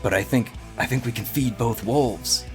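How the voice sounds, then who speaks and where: A man's voice: mid-range voice, normal speed, a little mellow, natural voice. A man playing an earnest, swaggering dude hero who makes slangy, rambling bro speeches. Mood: earnest speechifying. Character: earnest, swaggering dude hero pitching wolf-pack solidarity. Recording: music behind.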